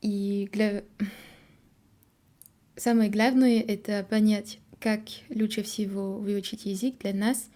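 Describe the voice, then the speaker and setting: A young French woman with a French accent, talking to camera in a learner's halting Russian, pausing often to search for words and correct herself. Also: mid-range voice, fairly even intonation, mellow. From France. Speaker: vlogger. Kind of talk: casual monologue to camera.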